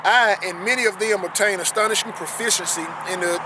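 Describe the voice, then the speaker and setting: A man with a fairly high voice reads a text aloud and breaks it down. He speaks earnestly, repeating phrases to drive them home. He is recorded outdoors on a riverbank, with loud wind and traffic noise around him. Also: fairly quick. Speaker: commentator reading a historical text. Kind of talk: reading aloud and commenting.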